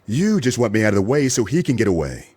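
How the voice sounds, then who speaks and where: Casting: male actor for suspicious, obstinate detective, husky and animated, incredulous edge, comic audio drama. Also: lowish voice, fast, dry recording. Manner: incredulous, accusing. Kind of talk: comic radio play acting.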